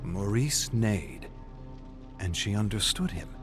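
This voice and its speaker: A male actor playing a grave storyteller, narrating a dark fantasy saga in a deliberate, suspenseful way. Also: lowish voice, animated. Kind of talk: scripted dramatic narration. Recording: music behind the voice. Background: music.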